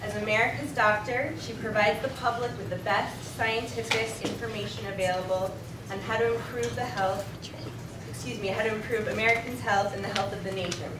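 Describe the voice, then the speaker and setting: A woman moderator reads prepared opening remarks in a mid-pitched voice. She sounds far from the mic, with echo from a large room and steady background noise. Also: a little fast. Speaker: event moderator. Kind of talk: formal introduction speech. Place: large indoor event hall. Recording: echoey hall, distant mic, noisy.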